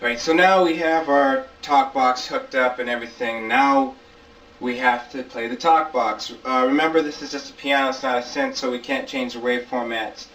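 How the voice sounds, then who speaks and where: A man's voice: mid-range voice, moderate pace, a bit bright. A man hosting an informal hands-on gear tutorial, explaining step by step in colloquial language and repeating key points. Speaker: tutorial host. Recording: distant mic, dull lo-fi camcorder sound.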